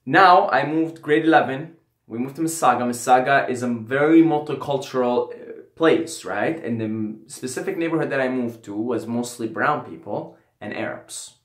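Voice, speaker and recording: A man's voice: medium pitch, normal speed. Rambling young man in an echoey room. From Syria. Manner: rambling, peppered with "right?" and "you know". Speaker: vlogger. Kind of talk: casual storytelling.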